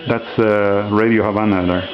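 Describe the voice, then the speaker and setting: A man casually demonstrating a homemade radio at home, relaxed and hesitant, with many fillers. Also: on the low side, moderate pace, lo-fi sound. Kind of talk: casual show-and-tell demo.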